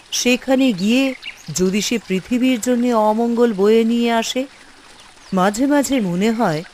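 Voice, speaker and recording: A woman's voice: medium pitch, a little mellow, slight background noise, lightly acted. Woman playing a solemn, troubled fairy queen. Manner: solemn, reflective. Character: grave, troubled fairy queen burdened by guilt. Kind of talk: Bengali fairy-tale cartoon acting.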